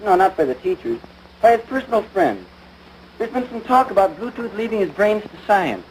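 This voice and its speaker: Teenage American son, earnest, dim, slangy yet lofty, vintage sitcom, faint noise on the recording. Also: male voice, high-pitched, sing-song, normal speed. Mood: earnest, matter-of-fact. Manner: earnest slangy talk mixed with lofty wording. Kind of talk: domestic radio-style situation comedy. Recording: noisy vintage broadcast recording.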